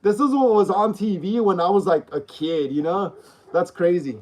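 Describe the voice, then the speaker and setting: A man in his thirties hosting a casual livestream, reading out viewer comments and chatting in a relaxed, repetitive way with slang. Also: high voice, moderate pace. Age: 30s. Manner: chatty and repetitive, uses slang like bro and dog. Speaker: livestream host.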